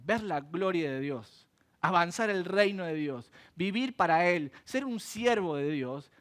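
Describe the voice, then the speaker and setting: A man preaching a sermon in church, animated and asking rhetorical questions. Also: high-pitched. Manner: animated, acts out quoted voices and asks rhetorical questions. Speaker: pastor.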